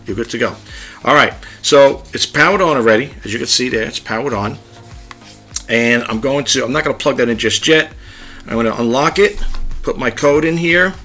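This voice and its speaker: A man talks casually through a gadget demo at his home desk, rambling in a low-key, near-monotone way. His voice has a bright, forward edge, and soft music sits faintly under it. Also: normal speed. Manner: chatty, rambling, demonstrating gadgets. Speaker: YouTube vlogger. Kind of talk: casual tech demo vlog.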